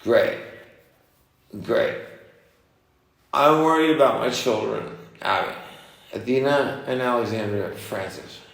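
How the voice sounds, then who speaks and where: A man's voice: medium pitch, takes it slowly, gravelly, long pauses, fairly bright tone, roomy sound, low-quality recording. A man in a heated personal phone argument, speaking in a confrontational, insistent way and often repeating himself. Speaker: parent on a phone call.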